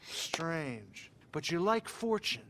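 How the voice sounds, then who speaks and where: An eager nervous writer. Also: male voice, a little high, a little hiss or hum, full character voice. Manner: excitable, earnest, talks fast in bursts. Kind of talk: video game cutscene acting.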